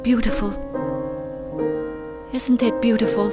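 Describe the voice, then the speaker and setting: A woman playing a gentle, appreciative character in a radio play. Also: a little high, fairly even intonation, slow. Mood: tender, moved. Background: piano playing.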